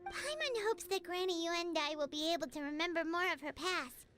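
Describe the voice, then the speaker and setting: A tiny, bubbly fairy companion, chirping in a very high, sing-song voice that leaps about as she chatters. Game music plays clearly behind her. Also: lively intonation, brisk, a bit bright. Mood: wistful. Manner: bubbly, refers to herself by name.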